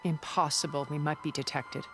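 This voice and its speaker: Actress as aloof older woman, low voice, calculating, measured, wry, game cutscene, loud music behind. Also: fairly even intonation, natural voice. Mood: cool, grave. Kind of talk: cinematic video game acting.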